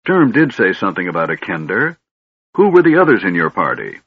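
A man's voice: deep voice, huge pitch swings, fairly quick, breathy edge, no room sound, full character voice. Man playing a stern, suspicious knight lord. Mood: puzzled. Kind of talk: audiobook character voice. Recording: dull, slightly lo-fi recording.

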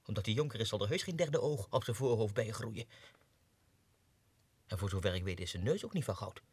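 Husky, wildly expressive deluded clerk. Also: male voice, fairly low pitch, fairly quick, dry recording. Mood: scornful, reasoning. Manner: theatrical, swinging from muttering whispers to agitated outbursts. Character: deluded low-ranking Russian clerk, obsessive, self-important, going mad. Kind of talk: 1960s radio drama monologue, diary entries. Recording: dry 1960s radio studio recording.

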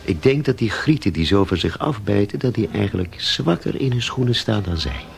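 A man's voice: lowish voice, lively intonation, fairly quick, creaky. An actor playing a talkative, cynical barman in a naturalistic radio drama, speaking in a hesitant, rambling way. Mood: fond, musing. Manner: hesitant, rambling, with self-corrections. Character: talkative café barman, cynical, fond of missing bandmate.

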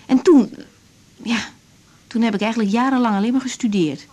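An actress playing an idealistic young doctor in a radio drama, speaking candidly with reflective hesitations. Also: female voice, a little high, moderate pace, warm tone, natural voice. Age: young adult. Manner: hesitant, reflective, self-searching. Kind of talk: naturalistic radio drama dialogue.

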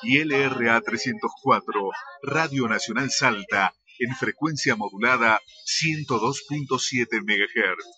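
A man's voice: mid-range voice, a little slow. A man reading a scripted radio station identification as the station announcer. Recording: dull broadcast sound over music. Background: music bed.

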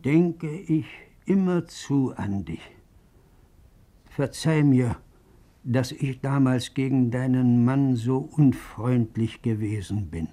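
A man's voice: medium pitch, slow, mellow, soft background music. An actor playing a lonely old father who reads out his pleading, longing letter to his daughter in a 1950s German radio drama. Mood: tender longing. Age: older.

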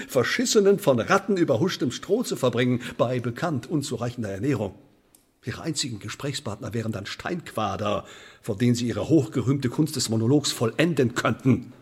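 A man's voice: mid-range voice, slightly rough. An actor playing a menacing, sardonic Elizabethan sheriff in a German radio play, officious and mockingly polite in his wordy threats.

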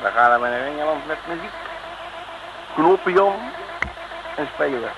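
A cocky, high-pitched, swooping radio detective. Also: male voice, lively intonation, unhurried. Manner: folksy, brash, boastful banter. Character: cheeky, cocky male detective on the run at sea. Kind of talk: 1950s-60s Dutch radio serial acting. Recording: noisy old radio serial recording.

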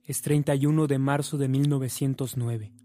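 A man's voice: medium pitch, steady tone, normal speed, dark tone. A man with a Mexican accent reading scripted narration for a radio drama.

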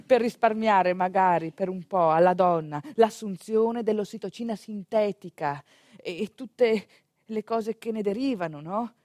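A woman delivering a theatrical monologue in a conversational way, with pointed pauses and rhetorical asides. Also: medium pitch, a little mellow, no echo, studio quality. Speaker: stage actress narrating a monologue.

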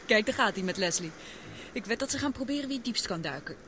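Woman acting curious young woman, spirited, teasing, animated intonation, radio drama, clear engine noise behind. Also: medium pitch, quick pace, close to an everyday voice.

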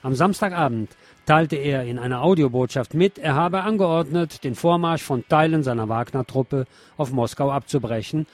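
A man presenting a news programme, reading commentary aloud in a measured, formal way. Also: medium pitch, no echo.

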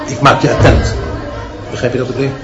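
A man acting as a severe, dictatorial school headmaster in a radio drama. He delivers stern, measured pronouncements with unbending authority and self-importance. His voice sits at a middling male pitch. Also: flat delivery, lo-fi sound, over music, close to an everyday voice. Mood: commanding. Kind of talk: Dutch radio play acting.

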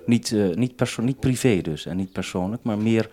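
A man with a fairly low voice hosts a two-way radio exchange. He talks off the cuff, haltingly, often breaking off and restarting his sentences as he feels for the wording. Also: dark tone. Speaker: radio programme maker and host. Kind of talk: unscripted two-way radio conversation.

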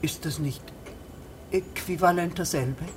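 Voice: mid-range voice, a little slow, noisy background, natural voice. An actor playing a pushy, impatient village official in a radio drama, insistent and formally polite. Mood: insistent, indignant.

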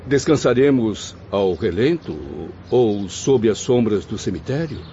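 Ator fazendo um espírito angustiado, lento e melancólico. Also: male voice, mid-range voice, lively intonation, dark tone, natural voice. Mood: troubled, despondent. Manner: slow, plaintive, formal theatrical phrasing. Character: anguished, restless male spirit longing for his mother. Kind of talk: dramatic radio serial acting. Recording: muffled audio with faint background noise.

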